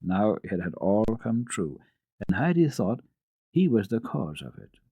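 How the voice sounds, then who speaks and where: Male children's storyteller: lowish husky voice, very animated, careful dramatic narration. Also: measured pace, no room sound, highs cut off. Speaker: storyteller and radio host. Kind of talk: dramatic reading of children's classic literature.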